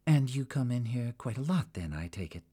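A shy, guarded young woman, as a man voices her, giving short, soft replies. She talks quietly, with a lively lilt. She keeps her answers vague. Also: medium pitch, moderate pace, husky, pitched above the performer's own voice. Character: timid, evasive young woman traveler, foreign-sounding.

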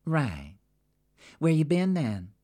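A man voicing a brash, loud-mouthed woman with a faint Texan accent, blunt and nosy, swearing freely. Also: fairly high pitch, wildly swooping pitch, slow pace, pitched above the performer's own voice, exaggerated voice.

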